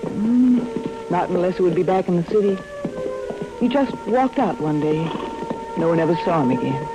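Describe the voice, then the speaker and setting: Lonesome young radio-drama heroine, music behind. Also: female voice, fairly low pitch, lively intonation, moderate pace, low-quality recording. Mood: guarded, wistful. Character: lonesome, inviting young ranch woman. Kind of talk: 1940s radio acting. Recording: vintage radio drama recording, clear music.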